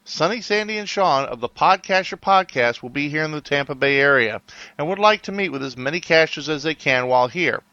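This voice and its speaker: A man reads a prerecorded event promo in a bright, cheerful announcer voice. His pitch is a bit high, and the recording is dry and slightly dull. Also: expressive, a little fast, dry recording. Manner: bright, upbeat announcer delivery.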